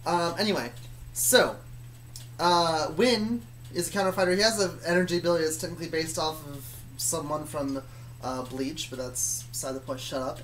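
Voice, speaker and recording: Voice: mid-range voice, normal speed, a bit bright, slight background noise, poor audio. A person telling stories about their own fictional universe in a casual, rambling, hesitant way, often correcting themselves. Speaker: storyteller.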